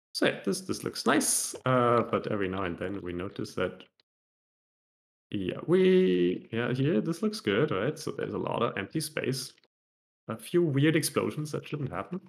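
Low gravelly-voiced man giving a casual tech talk. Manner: relaxed, self-deprecating, many fillers and restarts. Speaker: software developer presenting a talk. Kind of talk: casual technical meetup talk with live demo. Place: home room on a video call.

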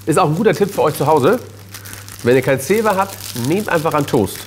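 A man's voice: a little high, lively intonation, a little fast, slight background noise. A man in his thirties hosting a cooking show in a studio kitchen, chatty and jokey, explaining each step while he cooks. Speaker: TV chef and host. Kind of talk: casual cooking show chat.

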